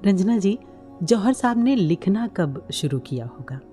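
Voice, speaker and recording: A woman's voice: medium pitch, very animated, unhurried, mellow, soft background music. A woman hosting a devotional radio interview, attentive and affirming as she listens and asks questions. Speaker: radio programme host.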